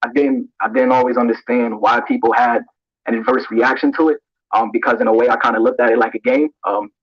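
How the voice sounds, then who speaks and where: A man answering interview questions in a reflective, hesitant and measured way, on muffled played-back audio. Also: mid-range voice, level tone, breathy edge, no echo.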